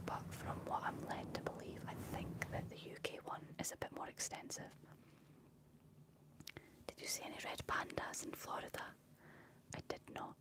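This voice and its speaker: A woman with a Scottish accent whispering as an ASMR live streamer, reading and answering chat messages. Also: poor audio. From Scotland. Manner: speaks entirely in a whisper.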